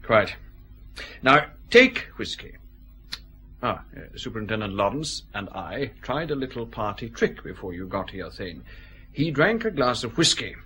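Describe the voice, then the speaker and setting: An exacting customs scientist in a radio detective drama. He explains in a precise, pedantic manner, his pitch moving in lively swings. He sounds fussy and measured. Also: slightly rough, exaggerated voice. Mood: lecturing.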